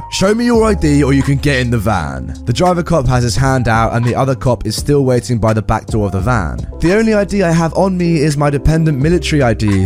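A man narrates stories for his channel in a slightly high voice that swings lively up and down. He keeps breaking off for wry little comments to his listeners. Soft music plays underneath. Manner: wry asides between stories. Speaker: story narrator and channel host. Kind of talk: reading stories aloud with commentary.

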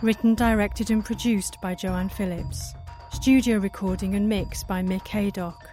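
A woman's voice: mid-range voice, steady tone, a little slow, dark tone, soft background music. A woman announcer giving a scripted reading of a drama podcast's end credits.

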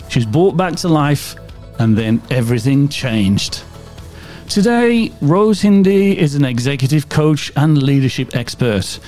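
Male podcast host, upbeat presenter delivery, mid-pitch voice, faint music underneath. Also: darkish tone. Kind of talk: scripted show intro then interview.

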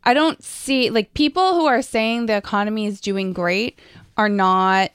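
A woman's voice: medium pitch, measured pace, fairly bright tone, clean tone, no echo, clean studio sound. A middle-aged woman co-hosting a casual live-stream chat, chatty and opinionated. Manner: chatty, opinionated, laughs easily. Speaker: co-host.

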